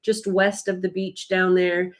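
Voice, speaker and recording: A woman's voice: on the low side, completely flat delivery, measured pace. An American woman co-hosting a live travel stream, chatting casually and explaining things in a rambling, chatty way. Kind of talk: casual chat, giving travel tips.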